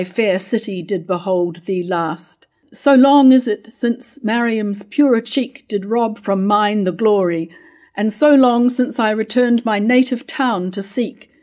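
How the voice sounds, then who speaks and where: A woman's voice: fairly high pitch, a little mellow. A middle-aged woman playing a proud, bitter discarded royal wife, declaiming verse in a staged reading. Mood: proud, aggrieved. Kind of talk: declaimed early modern verse monologue. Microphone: stand mic at lectern.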